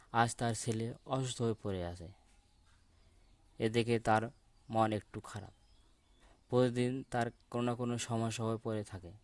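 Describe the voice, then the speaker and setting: A man's voice: mid-range voice, dry recording. A man narrating a children's cartoon story as a voice-over.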